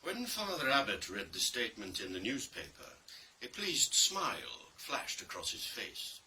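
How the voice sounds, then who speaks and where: A man narrates a dark tale in a very sharp, forceful voice with widely varied pitch. He is deliberate and theatrical, leaning on key words and pausing between phrases. He sounds set back from the microphone. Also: huge pitch swings. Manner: theatrical, deliberate, with pauses and emphasis. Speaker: reader of a play. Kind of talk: dramatic scripted narration of a dark story. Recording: distant mic with faint background noise.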